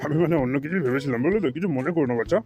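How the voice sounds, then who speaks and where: A man's voice: a little high, very fast, a little mellow, faint music behind, natural voice. A man voicing an elderly, easily offended, chatty grandfather in a comedy cartoon. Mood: mollified, helpful.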